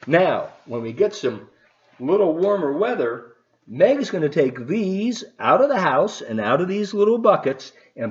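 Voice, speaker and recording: Animated, unhurried man talking to kids. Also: on the high side. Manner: animated, unhurried, folksy explaining. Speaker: volunteer children's storybook reader. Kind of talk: talking to children and reading a picture book aloud. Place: at home, partly in a living room. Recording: roomy, slightly muffled home video.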